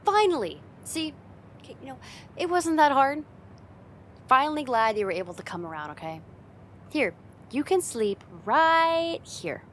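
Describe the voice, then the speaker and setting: A woman's voice: high-pitched, very animated, measured pace, long pauses, fairly bright tone, slight background noise, exaggerated voice. A woman playing a confident, teasing young woman, a friend's older sister, in a casual ASMR roleplay spoken straight to the listener. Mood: smug, teasing. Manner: playful, teasing, casual, giggly, lightly exasperated.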